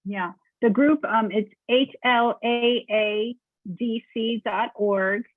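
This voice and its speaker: A woman's voice: fairly high pitch, slow, dry recording. A middle-aged woman moderating a community webinar, friendly and organized, talking casually with fillers. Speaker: program host for a neighborhood village. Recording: tinny phone-line audio, dry.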